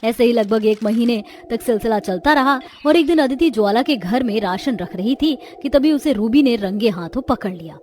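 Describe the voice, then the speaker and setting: A woman's voice: a little high, animated, normal speed, few pauses, a little mellow, a little hiss or hum. A woman delivering scripted, dramatic narration for a cartoon story.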